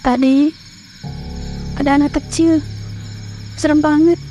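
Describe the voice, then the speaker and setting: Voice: high-pitched, flat delivery, takes it slowly, dark tone, soft background music. A performer voicing an anxious young woman in a horror cartoon, who speaks in a worried, earnest way.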